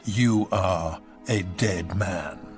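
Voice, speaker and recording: A man voicing an elderly professor in a story, laboured and elaborately calm, dragging out his words. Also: low-pitched, extremely slow, soft background music. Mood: curt. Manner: drags words out ponderously.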